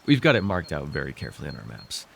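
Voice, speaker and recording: A man's voice: very deep voice, wildly swooping pitch, racing, creaky, fairly bright tone, a little hiss or hum, heavily acted. A man playing an arrogant, seductive fantasy warlord in an intimate roleplay, teasing and theatrical as he speaks to one listener. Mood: smug, gloating. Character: arrogant, seductive male fantasy villain warlord, dream-wielding conqueror. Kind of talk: immersive ASMR fantasy roleplay acting.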